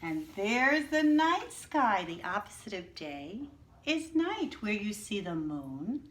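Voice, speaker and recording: A woman teacher reading a picture book aloud to young children, stopping to explain words and ask the class questions. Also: medium pitch. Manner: slow, clear, expressive teacher voice, asking the class questions. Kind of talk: children's story read-aloud with teaching asides.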